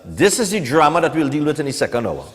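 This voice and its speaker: A Trinidadian man in his forties with a slightly high-set voice talks like a morning TV host, casual and opinionated, tagging his points with small checks to the listener. His voice rings with long reverb, as if in a hall. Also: sing-song, fast talker. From Trinidad and Tobago. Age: 40s. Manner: conversational, opinionated, peppered with "eh" and "you know". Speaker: morning television show host. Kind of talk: casual live talk and newspaper review.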